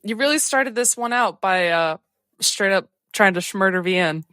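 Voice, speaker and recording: A woman's voice: mid-range voice, animated, normal speed, a bit bright, dry recording, clean studio sound. A woman chatting casually after a tabletop game, informal and slangy in the way she talks. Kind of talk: casual post-game chat.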